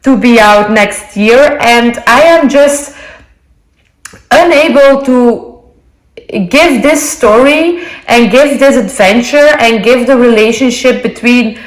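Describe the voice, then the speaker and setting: A woman's voice: fairly high pitch, slow. A woman from Belgium, a Dutch native speaker with a Belgian Dutch accent, chatting casually and apologetically to camera from her living room floor. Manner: apologetic, gushing, searching for words. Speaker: book vlogger. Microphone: earbuds. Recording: roomy home audio on earbuds.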